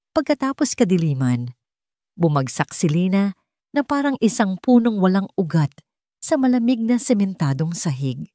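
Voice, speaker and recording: A woman's voice: fairly low pitch, a little mellow, dry recording. Filipino woman narrating, dry close sound. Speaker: audiobook narrator. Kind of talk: scripted dramatic story narration.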